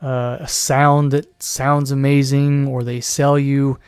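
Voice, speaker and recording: A man talking alone on his podcast with a hoarse, worn voice, sometimes clearing his throat. He rambles and restarts his sentences, now and then slipping into a mocking put-on voice. The sound is dry and close, with no room around it. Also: mid-range voice, level tone, slow, dry recording. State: losing his voice. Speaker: podcast host. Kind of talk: solo commentary podcast.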